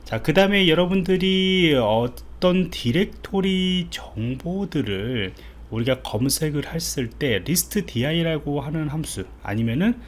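A man's voice: a little high, expressive, unhurried, hardly any pauses. A man giving an informal step-by-step coding tutorial as an IT instructor, thinking aloud as he types. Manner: informal explanatory, thinking aloud while typing. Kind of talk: screen-recorded coding tutorial, explained step by step. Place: indoors, voice-over on a screen recording. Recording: clearly audible background noise.

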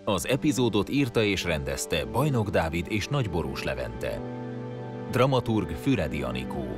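A man's voice: sits low, big pitch swings, over music. A man reading out a scripted announcement of credits as an announcer.

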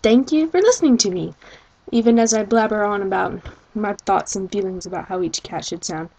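A woman's voice: mid-range voice, a little fast, creaky, darkish tone, slightly rough, poor audio. A young woman introducing amateur voice auditions in a casual, self-deprecating, rambling way.

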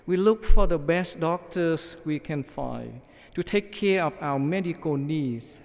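A middle-aged man, a priest, preaching a measured sermon from notes at a church lectern microphone. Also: fairly high pitch, animated, vocal fry, darkish tone. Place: large church, at the ambo. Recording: long church reverb.

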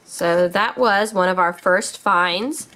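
A woman's voice: fairly low pitch, slow pace, a bit bright. A woman hosting a casual toy showcase for children, speaking enthusiastically in simple phrases. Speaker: children's toy channel host.

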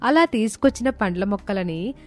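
A woman's voice: mid-range voice, lively intonation, normal speed, darkish tone, soft background music. A woman narrating a scripted moral story as a storyteller.

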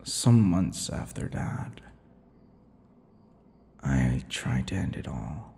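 Male actor as seductive bitter vampire, ASMR roleplay, heavy vocal fry, often whispering, swooping intonation, dramatic pauses. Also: extremely low pitch, big pitch swings, slight background noise, full character voice. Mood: bitter, brooding. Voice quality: breathy, gravelly, often dropping to a whisper. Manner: slow, halting, dramatic pauses and dark chuckles. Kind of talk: intimate ASMR roleplay monologue. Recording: long reverberant echo.

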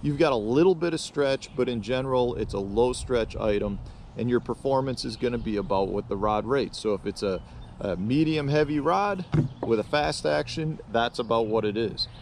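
A man's voice: mid-range voice, moderate pace. A man in his forties explaining fishing gear in a conversational way to camera, repeating points for emphasis. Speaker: fishing show host and rod builder. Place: outdoors in a lakeside park. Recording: outdoor ambient noise.

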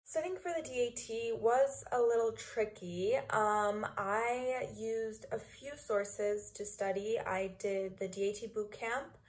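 A woman's voice: a little high, slow, few pauses, faint music behind. A young woman from Los Angeles in her twenties, a dental student answering interview questions to a laptop camera in a small room, chatty with many ums and likes. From Los Angeles, California. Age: 20s.

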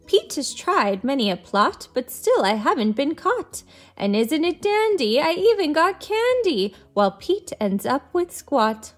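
Storybook leprechaun , played by a woman: small male trickster, high and lively, boastful singsong. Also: a little slow, soft background music. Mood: gleeful, teasing. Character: mischievous, boastful little male leprechaun who loves candy. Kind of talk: children's storybook read-aloud.

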